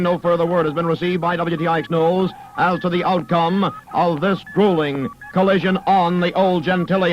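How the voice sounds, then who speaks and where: A fairly high-voiced male newscaster rattles off headlines in a brisk, clipped 1950s broadcast manner. The sound is muffled like an old AM radio airing, with a music bed running underneath.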